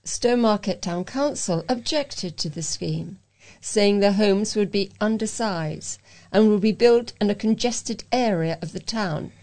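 A woman's voice: mid-range voice, measured pace, a little mellow. A woman reading local news aloud as a volunteer reader, carefully, with occasional stumbles over words. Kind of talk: read-aloud local news for a talking newspaper.

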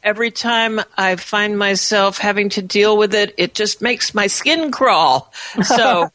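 A woman co-hosting a business podcast who jumps in with lively interjections and prompting questions. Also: mid-range voice, unhurried, no room sound, low-quality recording. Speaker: podcast co-host. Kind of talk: conversational business talk.

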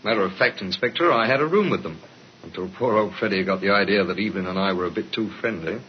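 An actor playing a guarded, blunt ship's steward in a 1950s radio drama, on a dull, hissy old recording. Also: male voice, sits low, quick pace, lightly acted. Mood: explaining, uneasy. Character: wary, defensive working-class male ship's steward.

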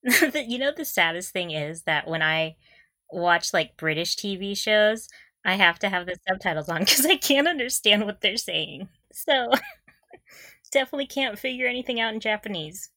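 A woman co-hosting a podcast in casual chat, with a giggly, expressive way of reacting. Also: mid-range voice, normal speed, no room sound. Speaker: podcast co-host.

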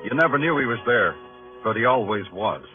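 Hard-boiled police detective, played by a male actor, brooding and clipped, lively low-to-high swings, old band-limited radio drama sound. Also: brisk, phone-quality sound, over music, lightly acted. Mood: weary narration. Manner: terse, clipped, streetwise delivery. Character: hard-boiled world-weary New York police detective, brooding and nosy. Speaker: lead actor and narrator. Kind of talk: 1950s radio crime drama acting, noir narration.

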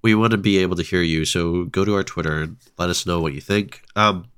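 A man co-hosting a casual film podcast, relaxed and thoughtful, with a stuffed-up nose. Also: deep voice, fairly quick, clear, resonant tone, no room sound, clean studio sound. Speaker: podcast co-host.

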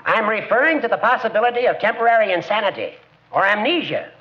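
A fussy older judge with a high, swooping voice. He speaks formally and pompously, as if chairing a meeting, in a muffled 1940s radio comedy. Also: expressive, fast. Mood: earnest. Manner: pompous, orderly, wordy. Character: formal, pontificating small-town judge, fussy older man.

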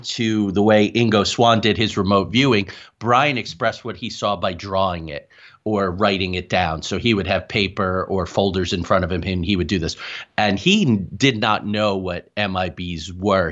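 A man's voice: low-pitched, fairly bright tone. A man speaking as an interview guest, telling his experiences in a conversational, explanatory way with asides. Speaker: guest, paranormal researcher and author. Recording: dull, lo-fi call audio.

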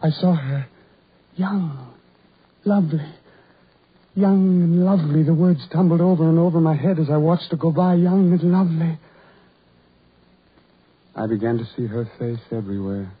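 An actor playing a lonely, self-loathing ugly farm labourer in a 1940s radio horror drama, with halting, repetitive, brooding delivery. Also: male voice, fairly high pitch, breathy edge. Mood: yearning. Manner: halting, repetitive, brooding, building to anguished outbursts. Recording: muffled, tinny 1940s radio recording.

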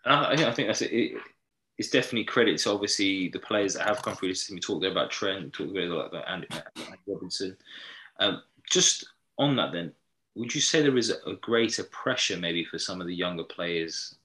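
Deep-voiced, bright-toned podcast host. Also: male voice, lively intonation, a little fast, rough recording. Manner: long, rambling questions. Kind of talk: interview questions.

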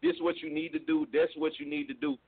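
Man, a label guest, easygoing phone-in talk, a bit high voice, tinny line. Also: flat delivery, fast talker, breathy edge. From Mississippi. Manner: laid-back, repeats "you know what I'm saying". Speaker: music label co-owner guest. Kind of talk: casual phone interview.

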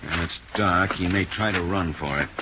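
Low-voiced actor as a commanding police sergeant, old radio. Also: male voice, moderate pace, lightly acted. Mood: terse, businesslike. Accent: American. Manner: terse, authoritative. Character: commanding male police sergeant leading a night manhunt. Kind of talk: 1950s radio drama acting. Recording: dull, noisy 1950s radio recording.

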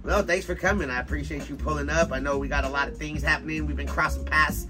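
A man hosting a cannabis podcast, joking loudly and swearing freely. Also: on the high side, steady tone, fast, over music. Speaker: podcast host. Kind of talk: casual cannabis podcast chat.

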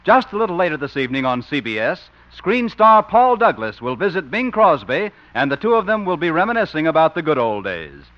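A man's voice: a little high, big pitch swings, fairly quick, few pauses. An American man announcing and narrating a scripted 1950s radio show. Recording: muffled 1950 radio recording with music.

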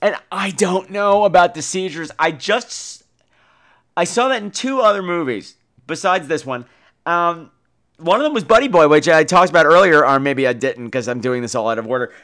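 A man hosting a podcast, chatting casually about films in an animated, theatrical, wisecracking way. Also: fairly high pitch, moderate pace. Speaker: podcast host. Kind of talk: casual film chat responding to a listener call.

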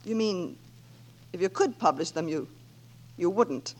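An actress playing a sardonic, quick-witted woman writer in a 1940s American radio comedy, with dry wisecracking delivery. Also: on the low side, expressive, darkish tone. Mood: wounded, hopeful. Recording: noisy old 1940s radio recording with clicks.

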